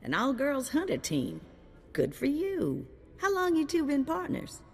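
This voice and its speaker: A woman's voice: high-pitched, huge pitch swings, unhurried, a little mellow, exaggerated voice. A woman playing a folksy, familiar, straight-talking handler of bounty hunters in a video game. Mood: chummy. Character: folksy, chummy female bounty hunt handler. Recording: long reverb.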